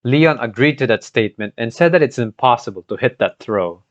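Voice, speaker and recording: A man's voice: medium pitch, animated, brisk. A young man with a Filipino accent narrates a game playthrough from a script, with casual asides. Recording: dull scuffed mic, music behind.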